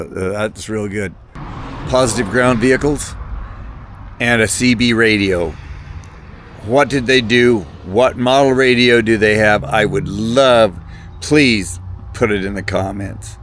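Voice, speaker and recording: A man with a slightly low voice speaks off the cuff to viewers as a hobbyist. His delivery is casual and rambling. He hesitates often, doubling back on words and halting between phrases. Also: fairly bright tone, slight background noise. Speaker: hobbyist video presenter.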